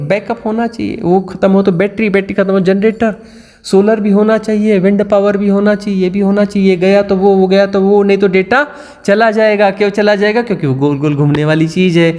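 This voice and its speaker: Male spiritual teacher, higher voice, mellow tone, repetitive discourse in a reverberant hall. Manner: repetitive, mimics inner self-talk, rhetorical questions. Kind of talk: satsang discourse.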